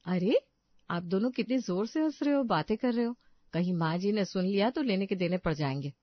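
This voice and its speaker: A woman voicing a gentle, cautious young daughter-in-law with a caring manner in a cartoon story. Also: mid-range voice, lively intonation, brisk, no echo, highs cut off, close to an everyday voice. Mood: anxious. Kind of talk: cartoon moral story voice acting.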